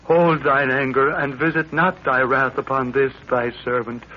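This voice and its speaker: A man's voice: mid-range voice, steady tone, normal speed, lightly acted. Awed male medieval supplicant, played by an actor, archaic and theatrical; noisy, dull vintage radio recording. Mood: awed, pleading. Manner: reverent, declamatory archaic speech. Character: awestruck, pleading medieval servant who worships explorers as gods. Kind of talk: 1930s radio acting.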